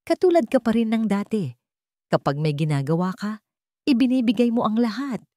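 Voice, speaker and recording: Woman voicing a gentle, mellow estranged husband. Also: a little high, big pitch swings, normal speed, no echo, clean studio sound, pitched above the performer's own voice. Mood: tender, hopeful. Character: earnest, hopeful estranged husband in romantic drama.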